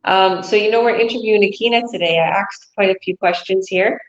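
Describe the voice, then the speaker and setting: A woman's voice: medium pitch, brisk. A woman hosting an online interview, breezy and chatty with many fillers. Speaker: interviewer, host. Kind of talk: casual video call interview. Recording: echoey, dull lo-fi audio.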